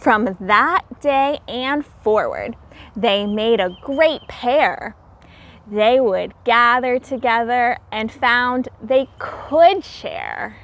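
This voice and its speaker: Female storybook reader, high voice, very unhurried; theatrical, emphatic teacher speaking simply to young children. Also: animated, a bit bright. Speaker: teacher reading a picture book aloud to children. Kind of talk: expressive children's storybook read-aloud.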